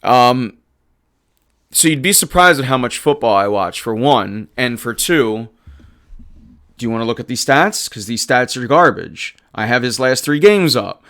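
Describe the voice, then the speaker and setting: Hoarse, animated sports host. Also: male voice, medium pitch, a little slow. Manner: animated and emphatic, rattling off stats. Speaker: livestream sports show host. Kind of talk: casual sports talk reading chat.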